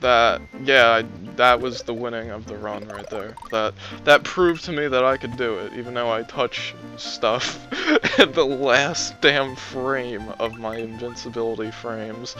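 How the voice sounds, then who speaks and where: Young man, bright voice, rambling gameplay commentary, self-deprecating, slightly strained voice, faint game music. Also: medium pitch, unhurried, few pauses. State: strained voice from long talking. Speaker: let's play commentator.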